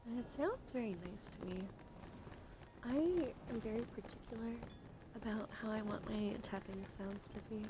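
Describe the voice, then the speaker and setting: A woman streaming ASMR in a soft, soothing, partly whispered voice, chatting in a meandering way with gentle repetition, close to the microphone. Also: mid-range voice, fairly even intonation, moderate pace, background noise.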